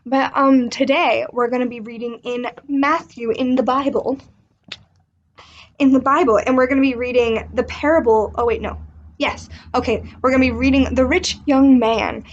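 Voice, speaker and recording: A young woman with a fairly high voice talks fast and chattily to children, telling a story from her home. The recording is a bit dull and lo-fi. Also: steady tone. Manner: quick, chatty, puts on a pompous rich man voice. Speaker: children's ministry teacher. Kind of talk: casual storytelling to kids. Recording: dull lo-fi sound.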